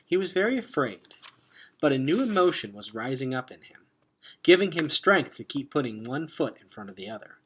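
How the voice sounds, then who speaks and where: A man reading a children's adventure novel aloud like a teacher, expressive and dropping into hushed tones for suspense. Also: medium pitch, moderate pace, slight background noise, low-quality recording. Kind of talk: dramatic read-aloud narration of a children's novel.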